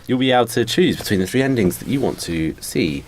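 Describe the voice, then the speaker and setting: A man's voice: medium pitch, expressive, fast, a little hiss or hum, low-quality recording. A man co-hosting a podcast with casual, joking banter and mock announcements.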